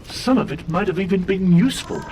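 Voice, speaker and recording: Casting: polite, cruel protocol droid, raised animated pitch, formal prissy delivery, comic dub. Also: male voice, a little fast. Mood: politely disapproving. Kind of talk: dramatic comic dub acting. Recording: loud noise and ambience behind voice.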